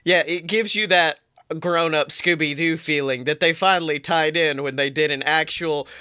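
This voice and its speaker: A young man co-hosting a podcast, chatting casually and playfully with lively, mock-outraged reactions. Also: on the high side, moderate pace, clear, resonant tone, dry recording, clean studio sound. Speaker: podcast co-host. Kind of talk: casual chat.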